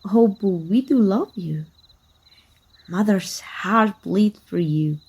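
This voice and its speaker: Slow, husky woman acting a sly vampire hunter. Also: medium pitch, a little mellow. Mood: coaxing. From Mexico. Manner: slow, deliberate, mock-sweet and menacing. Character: sly, taunting, sadistic female vampire hunter, manipulative estranged sister. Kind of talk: ASMR roleplay acting.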